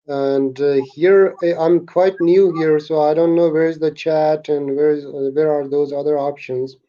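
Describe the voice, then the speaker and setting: A man on a video call speaks hesitantly, stopping often for fillers. His voice is mellow and slightly high, and his laptop mic makes the sound dull and lo-fi. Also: steady tone, unhurried. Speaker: new participant. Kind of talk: casual chat.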